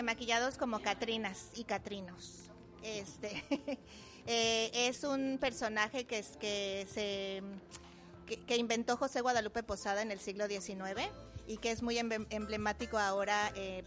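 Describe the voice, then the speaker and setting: A woman's voice: a little high, a little slow. Friendly Mexico City woman over music. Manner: friendly, explanatory, many fillers like "este". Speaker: dance group member. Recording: interview with loud music behind. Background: music playing.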